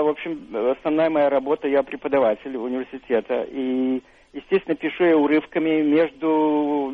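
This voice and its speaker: A man's voice: mid-range voice, no room sound. An older man, an author and university professor, answering interview questions on the radio in a thoughtful, hesitant way. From Russia. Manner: thoughtful, hesitant, many fillers and restarts. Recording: narrow phone-like radio sound, dry.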